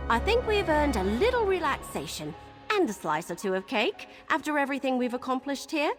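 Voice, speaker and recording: A woman's voice: high-pitched, big pitch swings, brisk. A woman playing an eager, chatty young adventurer in a video game, with bubbly, folksy exclamations. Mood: cheerful, chatty. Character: eager, chatty young female adventurer who loves cake. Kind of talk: video game character dialogue. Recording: game music underneath. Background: game music.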